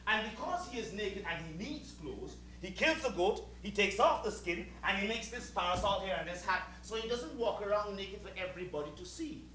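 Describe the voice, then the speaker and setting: An actor with a Caribbean accent playing a proud, sardonic servant who bosses like a director in a stage comedy, mock-polite and emphatic. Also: male voice, high-pitched, expressive, brisk, few pauses, sharp tone. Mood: bossy, exasperated. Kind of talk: live satirical stage comedy acting. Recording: echoey far-off stage recording, humming noise.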